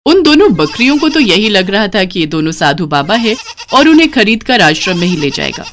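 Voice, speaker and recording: A woman's voice: medium pitch, big pitch swings, brisk, a bit bright, slight background noise. A woman storyteller reading scripted narration for a tale.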